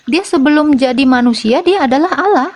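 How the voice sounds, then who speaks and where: A woman defending her faith in a debate over a voice call, assertive and firing back quick rhetorical questions. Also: high-pitched, lively intonation.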